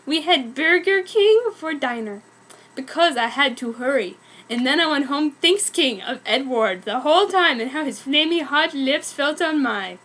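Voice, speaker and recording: A woman with a notably high voice narrates amateur fan fiction as a dramatic reading. Her delivery is theatrical, and she sounds easily amused by the material. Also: animated, a little slow, a bit bright. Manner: theatrical, often breaking into giggles.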